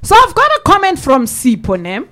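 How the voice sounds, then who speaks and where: Lively high-voiced South African woman host. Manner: animated, laughing, drawn-out emphatic repeats. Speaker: radio host reading listener comments. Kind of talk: lively radio banter. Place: radio studio.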